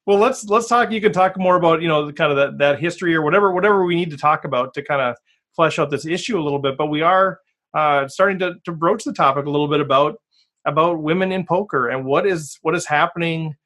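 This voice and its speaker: A man's voice: fairly high pitch, quick pace, a bit bright. A man hosting a podcast interview, joking and rambling with many restarts. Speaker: podcast host. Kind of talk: casual interview.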